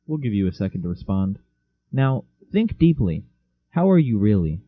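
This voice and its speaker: A young man co-hosting a casual podcast, joking sarcastically and deadpan. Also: on the low side, normal speed, no echo. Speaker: podcast co-host. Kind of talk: casual chat. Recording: dull lo-fi home mic.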